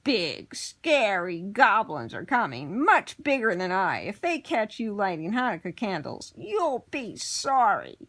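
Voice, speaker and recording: A woman voicing a tiny, boastful male goblin with a high, squeaky voice in a read-aloud storybook. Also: huge pitch swings, a little slow, few pauses, a bit bright, heavily acted.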